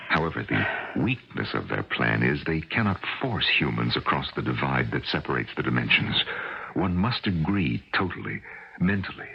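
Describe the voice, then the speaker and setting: A man playing a grave, courteous middle-aged scientist father in a radio mystery drama, earnest and deliberate, with a gravelly, husky voice. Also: rumbling low, very animated, full character voice. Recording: dull old radio recording.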